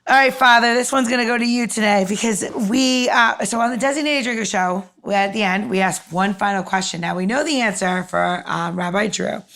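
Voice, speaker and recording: A woman's voice: medium pitch, a little fast, gravelly, hardly any pauses, a bit bright. A woman co-hosting a podcast, talking in a chatty, informal way in casual conversation. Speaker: podcast co-host.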